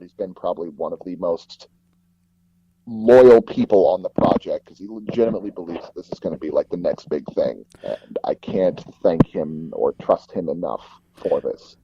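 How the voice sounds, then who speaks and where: A man telling anecdotes casually as an interview guest, animated and acting out bits of dialogue as he goes. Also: low voice, slightly rough, dry recording, dull audio. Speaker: guest, voice actor and audio drama producer.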